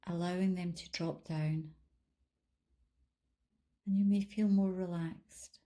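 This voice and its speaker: Female meditation guide, lowish pitch and very slow delivery, calm and soothing, with generous pauses. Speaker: meditation guide, life coach. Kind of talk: guided body scan meditation, instructional.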